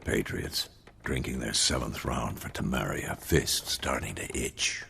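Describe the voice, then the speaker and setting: A man's voice: very deep voice, a little slow, vocal fry, sharp tone, exaggerated voice. A man playing a grave, wary traveling companion in a video game, terse and muttering. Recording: reverberant hall, faint background noise.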